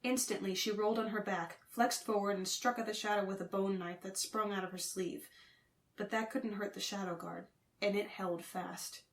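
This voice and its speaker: A woman's voice: mid-range voice, steady tone, moderate pace. A woman reading fiction as an audiobook narrator, in a scripted, dramatic storytelling style.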